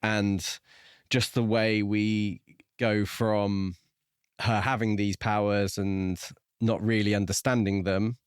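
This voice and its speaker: A man co-hosting a podcast, discussing a book casually in a measured, reflective and explanatory way. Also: lowish voice, little pitch movement, clear, resonant tone, no room sound, studio quality. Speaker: podcast co-host.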